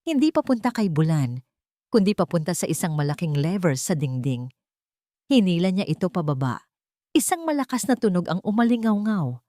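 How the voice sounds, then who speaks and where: A woman's voice: fairly low pitch, sing-song, moderate pace, warm tone, dry recording, studio quality. A woman narrating a scripted romance story in a dramatic storytelling style.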